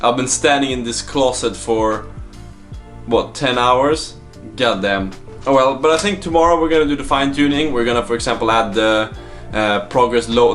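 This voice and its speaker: A man talking informally in a casual video diary, presenting his software project as a developer. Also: medium pitch. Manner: informal, conversational, mild swearing. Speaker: startup vlogger and app developer.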